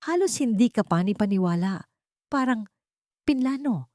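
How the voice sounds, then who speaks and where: A woman voicing a scheming woman who feigns gentle concern in an audio story, calm and insinuating. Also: fairly high pitch, normal speed, dry recording, clean studio sound, voice pushed up, lightly acted. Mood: planting doubt. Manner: calm, insinuating, falsely sweet.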